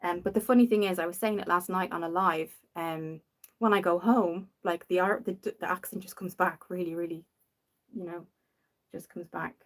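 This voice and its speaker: A young woman from Dublin with a softened Irish accent, rambling casually while hosting a makeup livestream and answering viewers. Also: lowish voice, steady tone, moderate pace. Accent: Dublin Irish, softened after years in the UK. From Ireland. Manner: chatty and rambling, reads out viewer comments.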